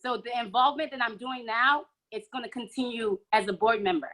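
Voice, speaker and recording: Casting emphatic woman candidate, higher bright voice, punchy lines. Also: brisk. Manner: emphatic, short punchy sentences. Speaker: school board candidate. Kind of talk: forum answer. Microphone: video call.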